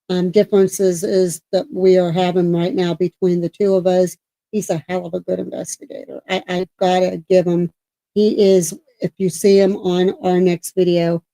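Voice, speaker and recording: A middle-aged woman with a mellow, warm tone who talks loudly and keeps talking, her voice projecting well. She rambles through restarts as she co-hosts a casual paranormal chat show. The sound is dry and close, with little room around it. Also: fairly low pitch, no echo, low-quality recording. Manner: self-described talker, loud and projecting. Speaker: co-host of a paranormal talk show.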